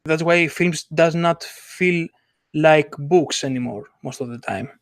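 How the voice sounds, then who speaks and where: A man's voice: on the high side, dry recording, clean studio sound. A man who speaks English as a second language, co-hosting a casual podcast and searching for his words as he goes. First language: not English. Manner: halting, searching for words in non-native English. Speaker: podcast co-host and illustrator.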